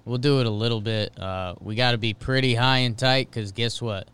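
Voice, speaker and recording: A man's voice: medium pitch, steady tone, moderate pace, a bit bright, smooth. A man co-hosting a casual sports podcast from a studio, easygoing and emphatic with slang. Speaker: podcast co-host.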